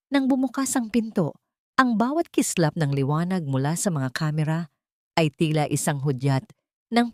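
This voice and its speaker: A Filipino woman reads dramatic fiction in a lowish voice. Her delivery is measured and expressive, with a literary storyteller's phrasing. The sound is close and dry, with very little room around it. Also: no room sound. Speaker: narrator of audio drama stories. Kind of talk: dramatic scripted storytelling narration.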